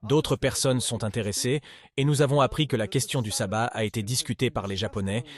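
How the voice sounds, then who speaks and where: A man giving a measured, scripted voice-over for a history segment. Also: mid-range voice. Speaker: dubbing voice for a history segment host.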